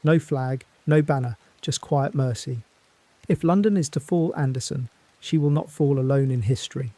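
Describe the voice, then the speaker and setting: An actor playing a somber male foreign correspondent reporting from abroad, in a hushed, somber manner. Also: mid-range voice, normal speed, no echo, lightly acted. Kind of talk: scripted dramatic news report.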